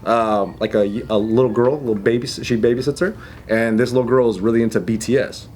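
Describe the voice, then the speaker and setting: A man's voice: medium pitch, steady tone, fairly quick, background noise. A young man co-hosting a casual chat show, telling a travel story in a laid-back way, laughing often and swearing casually. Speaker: podcast co-host.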